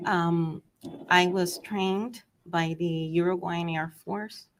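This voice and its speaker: A Cuban-born woman whose first language is Spanish, testifying as a court interpreter, soft-spoken and hesitant with many ums. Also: fairly low pitch, fairly even intonation, slow pace, slight background noise, low-quality recording. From Cuba.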